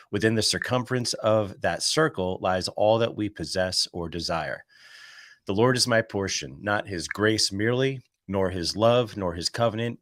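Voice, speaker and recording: A man who is under the weather with a cold, reading a daily devotional aloud. He pauses between passages to share relaxed, conversational thoughts. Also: fairly low pitch, no room sound, studio quality. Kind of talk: devotional reading with casual commentary.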